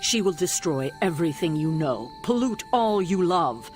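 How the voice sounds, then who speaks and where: A man acting a stern, authoritative mentor of a superhero team in a video game, speaking gravely and with command. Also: on the high side, very animated, moderate pace, faint music behind. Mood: grave, warning.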